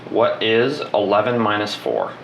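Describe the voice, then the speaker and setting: A man's voice: on the low side, very animated, a little slow, creaky, a bit bright. A young man in his twenties running a homemade study and asking the others plain questions, recorded from a distance in an echoey, noisy room. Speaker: study organizer and interviewer. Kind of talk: casual on-camera interview.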